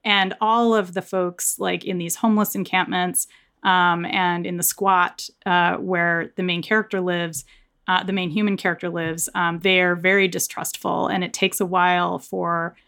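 Lower-voiced woman, conversational author interview. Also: level tone, normal speed, a bit bright, clean tone.

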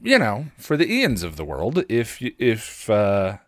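Male podcast host, low voice, dry close-mic sound, informal and halting, working through thoughts aloud. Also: wildly swooping pitch, fairly bright tone, no room sound. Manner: rambling, halting, thinking aloud. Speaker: podcast host and content creator. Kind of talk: casual solo podcast chat.

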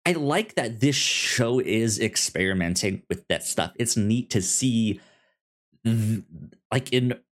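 A man co-hosting a podcast in casual chat, halting and often repeating words as he works out his thoughts. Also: on the low side, lively intonation. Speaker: podcast co-host discussing a TV series.

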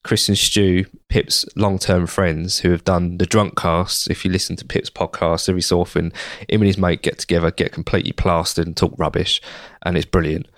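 Croaky low-voiced man, chatty guest. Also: flat delivery, fairly quick, dry recording, clean studio sound. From United Kingdom. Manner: chatty, rambling with restarts. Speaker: podcast guest. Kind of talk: casual interview answers.

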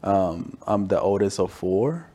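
A man in his twenties who comes from Tanzania talks as a guest in a casual interview. His voice sits a little low, and he tells things conversationally, often checking in with short tags. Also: animated, a little slow, dark tone. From Tanzania, moved to the US at ten. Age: 20s. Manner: frequent "you know" and "right?" tags. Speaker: interview guest, staff accountant.

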